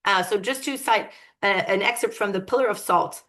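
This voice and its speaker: A woman giving an academic lecture, thoughtful and often pausing to search for her words. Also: medium pitch, sharp tone.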